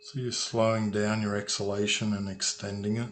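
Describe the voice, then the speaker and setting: A man leading a guided meditation as a calm, unhurried instructor, leaving long pauses between phrases. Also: lowish voice. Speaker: meditation guide, sound healer. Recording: noisy recording with ringing tuning forks. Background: humming tuning forks.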